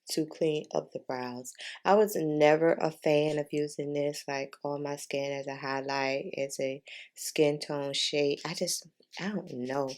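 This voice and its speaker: A woman talking in a casual, chatty way as a beauty vlogger giving a makeup tutorial, informal and repeating herself for emphasis. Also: deep voice.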